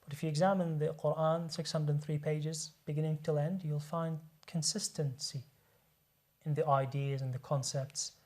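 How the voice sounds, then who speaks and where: A man's voice: fairly high pitch, flat delivery, normal speed, a little mellow. A man who works as a doctor, speaking as a guest in a studio discussion and explaining his points earnestly, often repeating words as he restarts a sentence.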